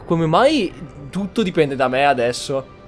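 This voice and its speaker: Animated Italian man, higher-pitched voice. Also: normal speed. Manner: colloquial, incredulous, swearing. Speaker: gaming streamer. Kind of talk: casual roleplay commentary over a video game. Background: game music.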